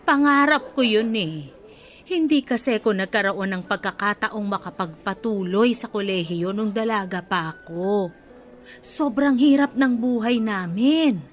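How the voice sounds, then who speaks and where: A woman playing a kindly, apologetic older student in a radio drama, speaking in a gentle, motherly and patient way. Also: mid-range voice, animated, measured pace, close to an everyday voice. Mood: hurt but firm. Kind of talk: radio drama acting in Filipino. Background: music bed.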